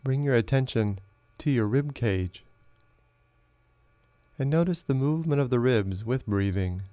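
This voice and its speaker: A man narrating a guided meditation as a teacher, in a slow, measured, instructional way with long pauses. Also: medium pitch, expressive, dark tone, no room sound.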